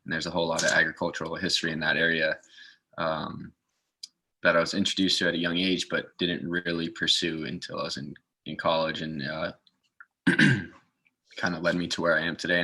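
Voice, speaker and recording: A man's voice: deep voice, flat delivery, normal speed, long pauses, bright, forceful tone, slightly rough. A man from the northeastern United States speaking as a guest in an informal interview, explaining things. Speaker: guest speaker, garden maintenance assistant.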